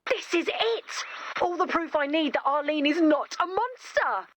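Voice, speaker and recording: Bubbly high voiced inventor girl, game character, super eager and sweet, kinda theatrical. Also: lively intonation, a little slow, a bit bright, no echo. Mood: curious, excited. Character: eager, kindhearted young female inventor, excitable and well-meaning. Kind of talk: animated video game character dialogue.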